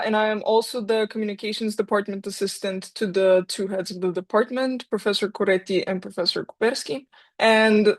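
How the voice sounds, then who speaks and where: A young woman from Bulgaria, a senior student, explaining her major at a university event, chatty and sometimes searching for words. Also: medium pitch. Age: young adult. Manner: chatty, loses her words now and then. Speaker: student speaker. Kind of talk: informational talk at a university event.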